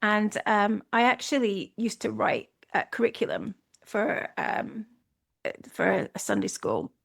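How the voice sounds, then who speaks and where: Gravelly-voiced Scottish woman hosting a podcast. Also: medium pitch, big pitch swings, measured pace. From Scotland. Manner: earnest, reflective, with frequent restarts. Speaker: podcast host. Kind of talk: solo podcast monologue.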